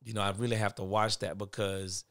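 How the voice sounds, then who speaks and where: A middle-aged man with a big voice that sits on the low side. He talks earnestly and candidly, swelling in volume when he presses a point. The sound is close and dry. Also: level tone, fairly quick, dry recording. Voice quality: big, naturally forceful voice. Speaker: guest, father of boys. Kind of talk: casual podcast conversation.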